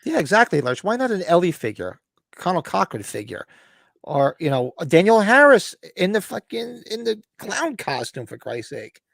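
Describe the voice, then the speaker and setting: A man hosting a casual podcast chat over beers, hoarse and scratchy-throated, rambling and cursing as he talks. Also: high-pitched, very animated, normal speed, fairly bright tone, dry recording, studio quality. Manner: rambling, cursing, self-interrupting. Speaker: podcast host.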